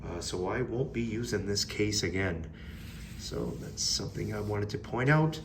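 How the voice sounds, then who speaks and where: A man's voice: on the low side, moderate pace, creaky, a little hiss or hum, poor audio. A man giving a casual, unscripted product review in a plain-spoken, mildly critical way. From Canada.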